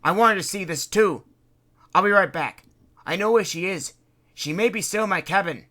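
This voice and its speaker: A woman's voice: low-pitched, animated, normal speed, pushed and bright, pitched above the performer's own voice. A woman voicing a bossy man who leads a ship's crew and demands to be obeyed. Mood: teasing. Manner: pushy, demanding. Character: bossy adult man, ship's expedition leader.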